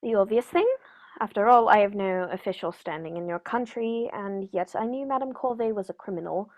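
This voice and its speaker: A woman playing a courteous, shrewd gentleman detective in a formal, measured radio-play manner. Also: medium pitch, highs cut off, close to an everyday voice. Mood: composed. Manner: polite, measured, formal, knowing. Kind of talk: 1940s radio play acting.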